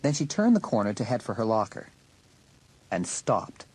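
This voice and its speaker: Husky male story narrator. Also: fairly low pitch, big pitch swings, moderate pace, a little mellow, poor audio. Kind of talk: scripted audiobook narration.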